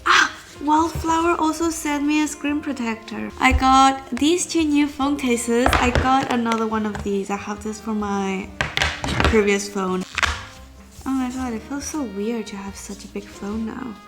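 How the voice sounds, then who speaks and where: A woman's voice: on the high side, a little slow. A young woman with a stuffy, nasal voice from a cold, chatting casually as a vlogger about her day, speaking into a phone camera. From China. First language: Chinese. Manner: chatty, diary-like, laughs lightly. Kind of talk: casual vlog chat. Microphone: handheld phone camera. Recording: vlog with music bed under voice. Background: background music.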